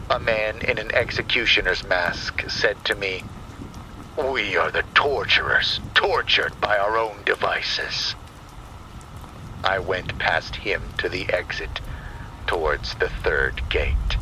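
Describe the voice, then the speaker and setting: A man narrating a scripted first-person horror story in a deliberate, dramatic manner. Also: on the low side, bright, forceful tone, slight background noise, rough recording. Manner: slow, deliberate, dramatic delivery. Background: rain and thunder sound effects.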